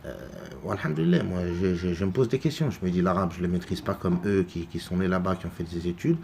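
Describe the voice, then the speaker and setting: Deep gravelly man, halting speech. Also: a little mellow, a little hiss or hum. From France. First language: French. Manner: halting, many repeated words and fillers. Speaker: religious video maker. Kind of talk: unscripted religious commentary.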